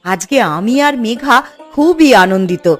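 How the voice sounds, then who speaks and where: A woman's voice: fairly high pitch, expressive, unhurried, faint music behind. A woman playing a resolute, wronged village widow in a cartoon moral story, earnest and emphatic. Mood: warm, grateful.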